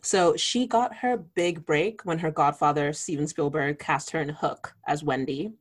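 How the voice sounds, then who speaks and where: Podcast co-host, woman, very low pitch, relaxed and musing. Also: a little slow. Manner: relaxed, musing, easy laughter. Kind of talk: casual chat.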